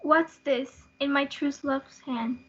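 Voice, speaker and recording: Casting: desperate, heartbroken teenage girl played by a female student, very high voice, school play reading, lo-fi audio. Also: steady tone, takes it slowly. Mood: desperate resolve. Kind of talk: school reader's theatre performance. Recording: dull lo-fi audio.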